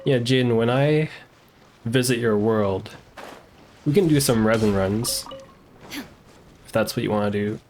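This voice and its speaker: A man's voice: mid-range voice, takes it slowly, long pauses, darkish tone, a little hiss or hum. A man streaming a video game, giving easygoing, casual commentary as he plays and talks to his viewers. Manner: easygoing, chatting to viewers and himself. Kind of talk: casual live gameplay commentary.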